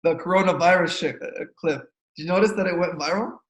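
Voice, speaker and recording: High-voiced male host in an echoey room. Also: fast talker, a bit bright. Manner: hesitant, many fillers and repeats. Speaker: interview host. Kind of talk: casual interview chat. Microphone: video call.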